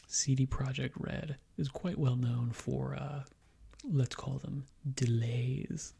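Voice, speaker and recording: A man speaking in soft, whispery ASMR style, giving rambling, halting gaming commentary as he thinks aloud. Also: mid-range voice. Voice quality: whispery, breathy, crackly. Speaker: video game commentator.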